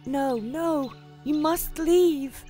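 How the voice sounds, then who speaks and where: A woman's voice: high voice, extremely slow, dark tone, soft background music. An actress playing a lovesick young noble girl in a dramatized storybook, with an emotional delivery. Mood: tender, urgent.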